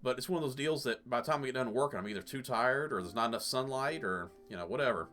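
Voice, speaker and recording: A man's voice: mid-range voice, quick pace, sharp tone. A middle-aged man giving casual, rambling let's play commentary in a conversational way. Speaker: gaming host. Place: small cluttered indoor room.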